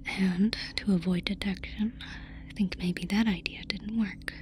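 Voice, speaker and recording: Woman playing a mellow, whispering, playful alien girl. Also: medium pitch, steady tone, measured pace, natural voice. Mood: uneasy. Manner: soft whispering, casual and coaxing. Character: playful, soothing, affectionate female alien abductor. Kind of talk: whispered ASMR roleplay. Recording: noisy ambience behind close whisper.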